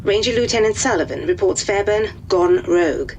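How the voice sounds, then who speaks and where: An actress playing a formal officer giving a crisp report. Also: female voice, medium pitch, expressive, moderate pace, dull audio, background noise, lightly acted.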